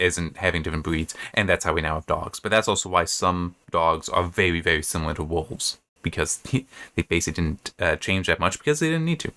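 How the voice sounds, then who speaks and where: Male, lower-pitched voice, informal book commentator who thinks out loud and wanders. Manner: rambling, thinking aloud. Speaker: host reviewing assigned reading.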